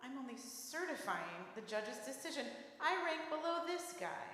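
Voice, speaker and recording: A woman's voice: high voice, very animated, normal speed, crowd noise. A woman playing a rueful, sarcastic character grumbling to herself in a comic live radio play. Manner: wry, self-deprecating. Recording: very echoey church hall.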